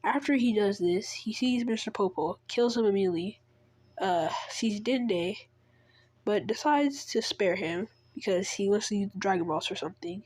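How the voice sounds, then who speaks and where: A woman's voice: mid-range voice, moderate pace, darkish tone, husky, dry recording. A woman who is sick, casually retelling an invented story in a rambling way, with many fillers and restarts. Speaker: fan storyteller.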